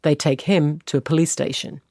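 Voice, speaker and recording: A man's voice: a little high, flat delivery, brisk, gravelly, crowd noise. A man reading scripted narration for a narrative radio documentary. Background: music bed.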